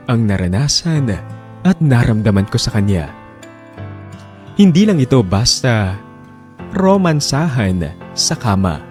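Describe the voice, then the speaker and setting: A man's voice: mid-range voice, a little mellow. A man with a Filipino accent voicing a letter writer in love, narrating a romance in reflective, sentimental radio drama style.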